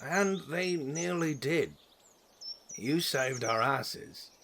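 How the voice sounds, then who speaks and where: A man's voice: on the high side, lively intonation, extremely slow, a bit bright, a little hiss or hum, full character voice. A man playing a brash, playful monkey-folk warrior who likes his drink, crude and slangy, in a fantasy audio drama. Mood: indignant.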